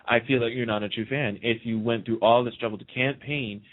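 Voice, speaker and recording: A man's voice: medium pitch, steady tone, a little fast. A man hosting a casual radio talk show, speaking in an emphatic, outspoken way over a narrow phone-like line. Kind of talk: casual opinionated radio chat.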